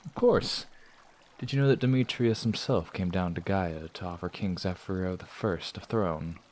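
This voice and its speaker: A man voicing a sly traveling performer who teases and probes with smooth talk in an audio drama. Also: low-pitched, normal speed, darkish tone, slight background noise, lightly acted. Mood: teasing, prying. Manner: teasing, probing, smooth-talking. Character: sly prying male traveling performer, teasing.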